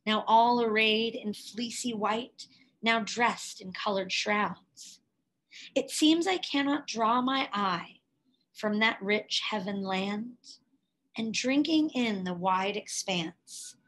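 A woman reading a picture book aloud expressively, in a measured way with dramatic pauses and emphasis. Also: fairly high pitch, fairly bright tone, poor audio. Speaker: read-aloud storyteller, teacher.

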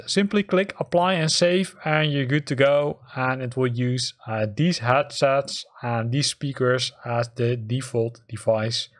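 A man hosting a how-to tutorial, explaining things step by step in an informal way. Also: medium pitch. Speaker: host of a flight simulator tutorial channel. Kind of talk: spoken how-to tutorial over screen capture.

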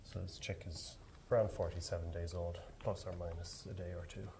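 Young Irish man, low register, calm field-guide delivery, shed ambience audible. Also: fairly quick, creaky, background noise. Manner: calm, explanatory. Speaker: barn owl ringer. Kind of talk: explaining fieldwork on camera. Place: open farm shed outdoors.

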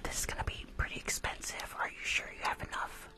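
A woman's voice: brisk, lightly acted. A young woman playing a rude, judgmental spa receptionist in an ASMR roleplay, snarky and condescending, close to the microphone. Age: young adult. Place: small room at home, indoors. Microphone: close furry-windscreened condenser microphone.

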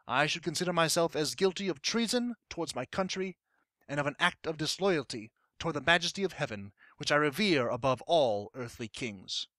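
A man's voice: on the high side, fairly bright tone, no echo, studio quality, close to an everyday voice. A man playing an impassioned colonial-era statesman, delivering a formal, emphatic dramatic monologue as a speech to an assembly.

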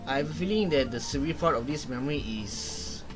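A man's voice: mid-range voice, animated, unhurried. A young man giving casual gameplay commentary as a streamer, in terse exclamations and muttered remarks. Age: young adult. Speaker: gaming streamer. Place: small indoor room, seen on webcam. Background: game music and sound.

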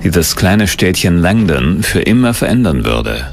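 A man's voice: low voice, sing-song, a little slow, gravelly. A man reading scripted documentary narration with suspenseful, dramatic phrasing.

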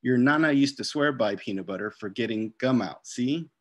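Guy doing a mom in a storybook, calm and firm, kinda soothing. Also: medium pitch, normal speed, lightly acted. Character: gentle but firm mother, soothing and scolding.

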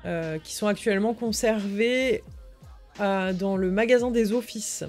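A woman's voice: mid-range voice, measured pace, darkish tone. A woman co-hosting a livestream, translating and commenting on an article as she reads it. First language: French. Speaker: livestream co-host. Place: indoor room, livestream. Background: music.

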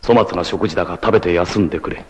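A man's voice: low-pitched, fast talker, husky, dry recording. A man voicing a noble, self-sacrificing blind warrior leader in a 1980s anime, with a solemn, formal, declamatory delivery. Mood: serene, gentle. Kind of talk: 1980s anime martial-arts voice acting.

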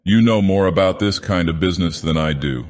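A man voicing a gruff, drunken, superstitious schooner captain in a storybook narration, grumbling and emphatic with fear underneath. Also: deep voice, brisk, darkish tone, long reverb tail, lightly acted. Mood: agitated, defiant.

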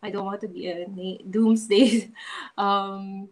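A woman's voice: mid-range voice, fairly even intonation, slow. Earnest Filipino woman, hesitant speech. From Philippines. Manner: earnest, hesitant with frequent ums. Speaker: interview guest, pageant titleholder. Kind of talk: casual interview, mixing English and Tagalog.

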